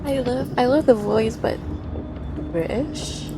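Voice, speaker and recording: A woman livestreaming a story game, reacting casually in short, excited interjections. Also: fairly high pitch, slow, noisy background. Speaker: streamer playing a story game. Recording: game music behind the voice.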